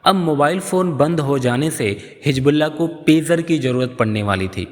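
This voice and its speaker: A man giving scripted explainer narration in an explanatory, storytelling manner. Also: mid-range voice. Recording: long reverb on voice.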